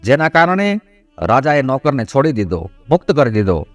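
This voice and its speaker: A man's voice: medium pitch, big pitch swings, moderate pace, no room sound. A man narrating a scripted moral story in a didactic manner. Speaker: narrator of devotional moral stories. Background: background music.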